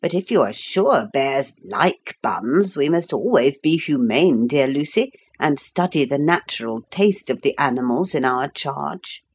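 Old man, storybook, pompous, slow, formal, voiced by a woman, low voice. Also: lively intonation, breathy edge, exaggerated voice. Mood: lecturing. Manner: stately, formal, slow and lecturing. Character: pompous old man, ark keeper, fussy and grand. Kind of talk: audiobook character voice.

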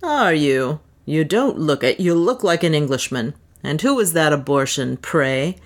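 A woman's voice: sits low, animated, measured pace. A woman doing a coarse, snarling trader chief. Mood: hostile. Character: coarse menacing male slave-trader chief.

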